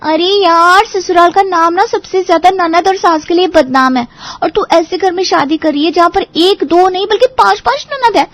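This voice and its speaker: Woman acting very high-voiced, blunt gossipy friend. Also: moderate pace, hardly any pauses, a bit bright, no echo. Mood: bitter warning. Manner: emphatic, warning. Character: newly married young woman friend, blunt, cynical, gossipy. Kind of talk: Hindi audio story dramatic acting.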